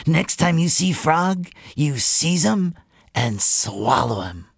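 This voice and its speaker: A man voicing a harsh, commanding mother snake who lays down the law in slow, stern words. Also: a little high, big pitch swings, vocal fry, slightly rough, no room sound, studio quality, voice pushed up, full character voice.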